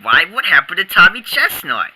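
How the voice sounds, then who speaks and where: A performer voicing a weary, patient mother with a flat, tired manner. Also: medium pitch, normal speed, voice pushed up, full character voice, rough recording.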